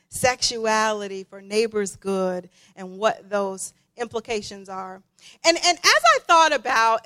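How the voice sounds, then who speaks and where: A woman preaching a sermon as a guest, emphatic and given to deliberate repetition for weight. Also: on the high side, sharp tone.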